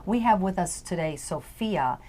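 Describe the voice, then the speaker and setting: A woman's voice: lowish voice, lively intonation, moderate pace, warm tone, slight background noise. Gushing woman radio host. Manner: gushing, rambling, with many fillers. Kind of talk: casual radio interview chat. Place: on a tour bus.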